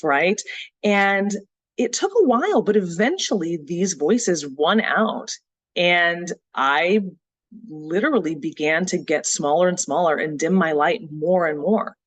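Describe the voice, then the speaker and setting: A speaker from Texas telling a personal story in a motivational talk, earnest and reflective, often pausing on "you know" and "uh". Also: mid-range voice, fairly bright tone, no echo. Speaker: summit speaker.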